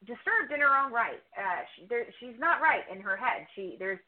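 A woman's voice: fairly high pitch, animated, normal speed. A woman podcast host giving reflective, conversational commentary as an interview guest, leaning on repeated words for emphasis. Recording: band-limited, muffled call audio.

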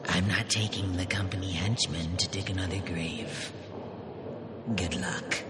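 An actor playing a curt, weary and dryly defiant lab boss in a science fiction audio drama. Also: male voice, low-pitched, steady tone, measured pace, noisy background. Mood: cold, defiant.

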